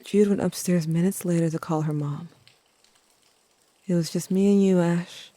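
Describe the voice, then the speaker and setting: A woman's voice: on the low side, steady tone, normal speed, mellow, no room sound, natural voice. A woman playing a tender, wry, grieving teenager who narrates an audio drama in a reflective, confiding way. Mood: somber. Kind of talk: intimate first-person audio drama narration.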